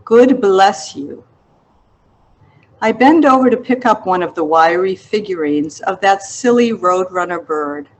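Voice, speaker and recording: Slow, deliberate woman reading memoir. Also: mid-range voice, expressive, poor audio. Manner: measured, deliberate, reflective. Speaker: author reading an excerpt of own book. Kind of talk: literary memoir narration, read aloud.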